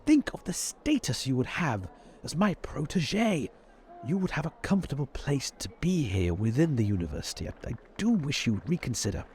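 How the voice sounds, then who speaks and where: A man's voice: mid-range voice, big pitch swings, normal speed, darkish tone, lightly acted. A man playing an earnest, patronizing older university professor who speaks formally and hesitantly, repeating his words, in tabletop roleplay. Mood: concerned, pleading.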